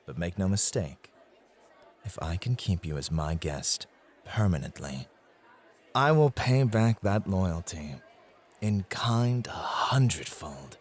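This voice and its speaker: A suave club host acted by a man speaks low and very unhurriedly, with pitch that moves around a lot. He is flirtatious and possessive, teasing and intimate, in the style of an ASMR roleplay. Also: animated, exaggerated voice. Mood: devoted, possessive. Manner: teasing, flirtatious, intimate address with drawn-out phrasing.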